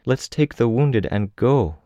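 Man voicing a soft, high-pitched Russian young woman. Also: animated, a little slow, no echo, dull audio, natural voice. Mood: gently insistent. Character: soft-spoken young tendril woman with Russian accent, pleading.

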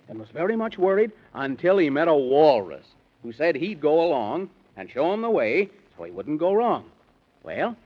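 A man tells a children's bedtime story on old-time radio in sing-song rhyme. His theatrical voice is fairly high and swings in lively fashion, on a dull, lo-fi vintage recording. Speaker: narrator of a children's radio serial.

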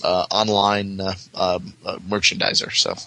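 A man chatting casually as a podcast guest, often halting and repeating words as he searches for them. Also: low-pitched, little pitch movement, vocal fry, pushed and bright. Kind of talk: casual chat.

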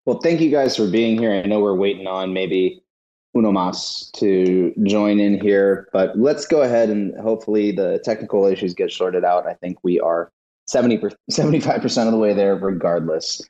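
A man hosting a live audio panel, moderating guests in a brisk, upbeat way. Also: on the low side, mellow, reverberant, dull audio. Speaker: podcast host.

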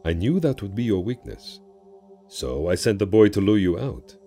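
A man's voice: on the low side, lively intonation, normal speed, warm tone, soft background music. A man voicing a proud, wily ancient djinn in a theatrical, taunting and declamatory manner for an audio story. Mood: gleeful pride.

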